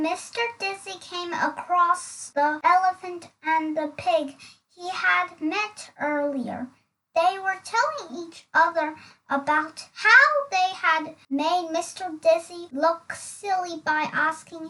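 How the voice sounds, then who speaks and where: A woman reading a children's story aloud in an expressive, deliberate way. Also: very high-pitched, distant mic, rough recording. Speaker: storybook reader.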